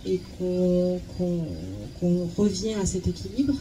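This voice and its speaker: Very dark-toned woman interpreting, hesitant. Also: lowish voice, slow, poor audio. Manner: hesitant, repeating words as she searches. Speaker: live interpreter. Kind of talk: consecutive interpreting. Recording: clear steady background noise.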